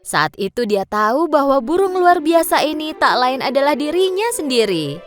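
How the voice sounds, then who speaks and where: Lively woman narrating a kids' story. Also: high-pitched, moderate pace. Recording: narration over loud background music.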